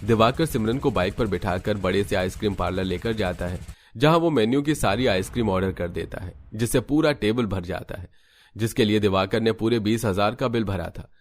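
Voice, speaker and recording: A man's voice: fairly low pitch, a little fast, slight background noise. A man reading scripted narration for a cartoon story as the storyteller.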